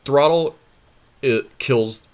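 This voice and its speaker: A man's voice: mid-range voice, drawn-out words, smooth. A man co-hosting a podcast, chatting casually about card game strategy and thinking his choices through aloud. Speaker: podcast co-host.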